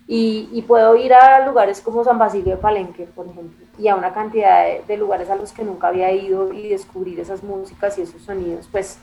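A woman's voice: medium pitch, steady tone, brisk, few pauses, mellow, poor audio. A woman from Bogotá with a Colombian capital accent, speaking as an interview guest in a casual, reflective and emphatic way, repeating phrases for stress. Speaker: interview guest, music and culture magazine editor.

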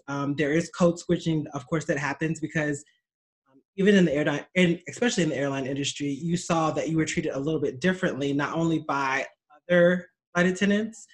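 A man in a casual group discussion, speaking in a measured, hesitant way with fillers. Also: on the high side. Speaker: discussion participant.

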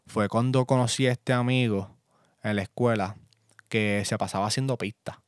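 A young man from Puerto Rico with a Puerto Rican accent, hosting a casual solo podcast from his home studio in a relaxed, chatty way with lots of fillers. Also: lowish voice, normal speed, smooth, no room sound. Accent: Puerto Rican Spanish. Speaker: podcast host and music producer.

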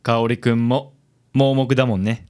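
A man playing a vain, suave, self-styled handsome investigator in a radio drama, with smooth, theatrical self-assurance. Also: medium pitch, fairly even intonation, moderate pace, a little mellow, lightly acted. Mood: light, teasing.

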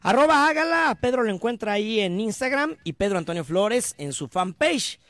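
A Mexican man hosting a sports radio show, reading listener messages in brisk, chatty, teasing banter. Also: high-pitched, big pitch swings, fairly bright tone, dry recording. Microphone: studio microphone.

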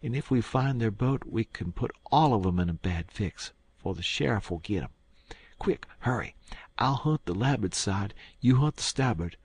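A man voicing a quick-witted, bossy rustic boy in an audiobook, speaking urgently. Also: medium pitch, slightly rough, no room sound, pitched above the performer's own voice. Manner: urgent coarse country talk. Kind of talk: audiobook character acting.

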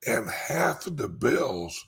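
Male crypto commentator, raspy and gravelly, very slow and emphatic delivery, informal solo address. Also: medium pitch, big pitch swings, fairly bright tone. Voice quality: speech impediment. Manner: emphatic, repeats phrases with dramatic pauses. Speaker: cryptocurrency commentator and show host. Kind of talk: informal monologue commentary to followers.

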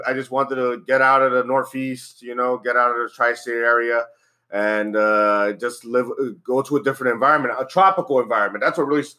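A man in his thirties, born in the Dominican Republic, talks in a loose, rambling way, full of fillers, restarts and quick laughs. His voice sits at an ordinary middle pitch for a man. He is a guest in casual podcast chat. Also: pushed and bright.

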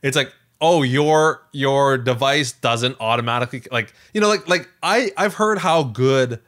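A man's voice: medium pitch, moderate pace, a bit bright. A man co-hosting a casual tech podcast, talking in an emphatic, conversational way. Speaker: podcast co-host.

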